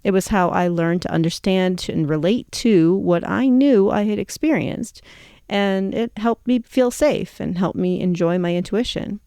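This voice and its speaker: A woman in her fifties speaks as the host of a personal storytelling show. Her pitch is average, and her delivery is reflective and conversational. The recording is dry, with very little room sound. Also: measured pace, warm tone, clear, resonant tone, no room sound. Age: 50s.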